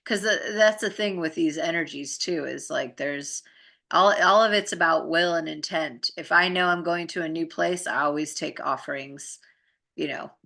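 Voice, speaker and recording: Low, creaky-voiced woman chatting. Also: normal speed, bright, forceful tone. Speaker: spiritual practitioner in conversation. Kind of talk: casual chat, personal storytelling.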